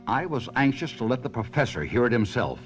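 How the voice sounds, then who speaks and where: An actor playing a troubled, sentimental stage ventriloquist in a theatrical, brooding 1970s radio mystery drama style. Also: male voice, mid-range voice, sing-song, brisk, soft background music, close to an everyday voice. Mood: sombre narrating.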